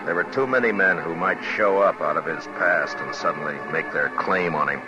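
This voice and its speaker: Actor as a deep-voiced, steady frontier lawman. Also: fairly quick, lo-fi sound, over music, lightly acted. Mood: reflective narration. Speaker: lead actor and narrator. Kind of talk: 1950s western radio drama acting. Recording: old 1950s radio recording, background murmur.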